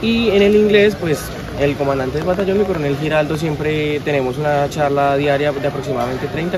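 Young soldier speaking hesitantly outdoors. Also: male voice, fairly high pitch, noisy background. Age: young adult. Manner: hesitant, many fillers. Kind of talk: interview testimony. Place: outdoors at a base.

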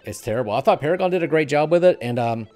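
A man's voice: a little high, animated, racing, darkish tone. A middle-aged man co-hosting a casual gaming discussion, speaking into a headset at home. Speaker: podcast host. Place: small room at home, on a group call. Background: music.

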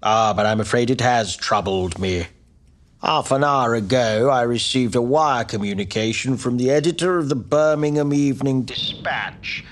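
A man's voice: medium pitch, normal speed, natural voice. An actor playing a stern, authoritative older statesman, speaking in clipped, commanding tones over a telephone. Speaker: senior politician on the phone. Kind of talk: period TV drama. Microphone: phone line.

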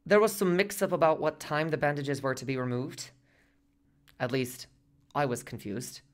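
A man's voice: fairly high pitch, fairly even intonation, normal speed, natural voice. An actor in a radio play playing an earnest husband who tells his story in a conversational, rambling way. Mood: earnest recollection. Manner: conversational, rambling, detailed asides. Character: earnest, chatty working-class husband recalling a hospital visit.

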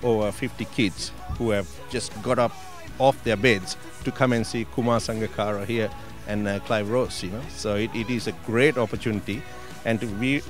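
A middle-aged Sri man with a low voice, giving interview answers into a handheld mic outdoors. Music plays clearly behind him. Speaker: youth cricket program co-founder. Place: outdoors at a sports field. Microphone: handheld reporter microphone.

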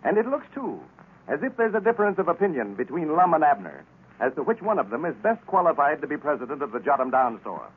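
A man with an American accent reading a scripted 1930s-style radio announcement and sales pitch. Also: big pitch swings, quick pace, slightly rough. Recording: tinny, noisy old radio recording.

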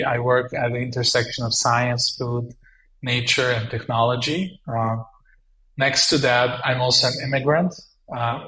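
A man's voice: mid-range voice, a bit bright, roomy sound, poor audio. A man in his thirties, from Southeast Europe, answering interview questions over a video call in an earnest, reflective way. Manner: earnest and reflective, with frequent fillers. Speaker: guest entrepreneur. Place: small room at home.

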